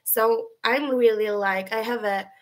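A young woman from Sweden with a Swedish accent and a high, feminine voice, chatting casually with viewers on a webcam livestream from her bedroom, full of fillers and restarts. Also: steady tone, a little slow. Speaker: livestream host. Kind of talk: casual live chat answering viewers. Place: bedroom at home, indoors.

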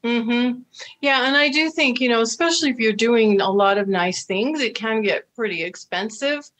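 A woman's voice: fairly high pitch, moderate pace, a bit bright. A middle-aged woman from the United States, a dating coach hosting a video call interview, talking in a chatty, reassuring way with many fillers. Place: indoor room with flowers.

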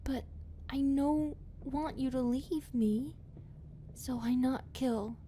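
A woman playing a clingy, loving skinwalker monster girlfriend in an ASMR roleplay, speaking soft, halting broken English and often whispering. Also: high-pitched. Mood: anxious, hesitant. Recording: clear background ambient noise.

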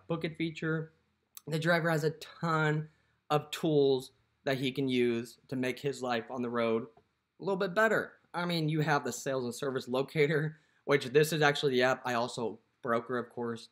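A young man with a mid-range voice talks casually to the camera, walking through a phone app. His talk is loose and conversational, full of "you know" and "what have you." He is recorded close up indoors. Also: medium pitch. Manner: conversational, filler-heavy, lots of "you know". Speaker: dispatcher and app promoter.

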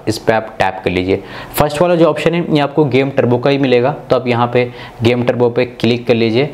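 A man's voice: mid-range voice, a little mellow. A man from India, narrating a tech tutorial in an instructional, step-by-step way. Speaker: tech video host.

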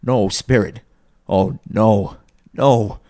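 A man's voice: on the low side, very slow, darkish tone. A man voicing a repentant old miser in a storybook, fervent and exclamatory, with breathless, effusive delivery. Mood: desperate pleading. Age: older.

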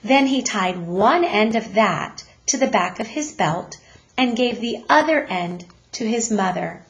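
A woman narrates a children's storybook in a teacher's read-aloud style. Her pace is slow and deliberate, her delivery expressive, her pitch middling.

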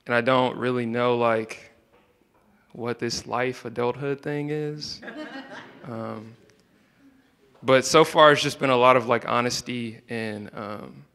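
A young man reading his own spoken word poetry into a handheld microphone, soft-spoken and hesitant between poems. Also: mid-range voice, vocal fry, long reverb tail. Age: young adult. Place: indoor room, standing by a wall.

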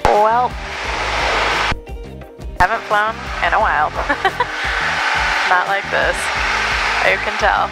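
A young woman talks casually as she flies a small plane, speaking into a headset mic. Her voice has a creaky fry and a laid-back, chatty delivery. Loud music plays behind her along with the engine. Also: medium pitch, drawn-out words, long pauses, poor audio. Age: young adult. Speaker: pilot and vlogger. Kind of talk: casual self-talk and radio calls while flying. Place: cockpit of a small propeller plane in flight. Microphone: aviation headset boom mic.

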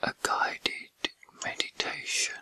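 A man whispering a guided meditation in a soothing, gentle, breathy ASMR style with unhurried pauses.

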